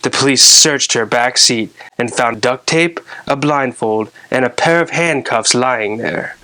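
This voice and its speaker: A man narrating a scary story in a deliberate, theatrical storyteller's manner, addressing his listeners as host. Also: medium pitch, bright, forceful tone.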